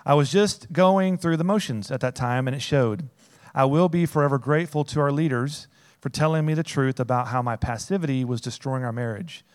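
A man reading a personal testimony to a group, plain-spoken and loud. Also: medium pitch, a little fast. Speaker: speaker sharing a testimony.

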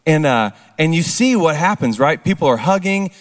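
A hoarse man giving a casual testimony talk at a recovery ministry service, throwing questions out to the crowd. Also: a little high, animated, normal speed. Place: large church hall with an audience. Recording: long hall reverb.

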